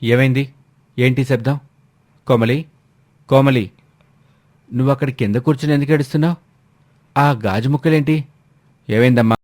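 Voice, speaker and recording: A man's voice: mid-range voice, steady tone, moderate pace, pauses often, darkish tone, natural voice. A man voicing an angry young husband in a dramatised moral story, demanding answers with disbelief. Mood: alarmed. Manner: demanding, incredulous. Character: angry, stern young husband questioning his wife.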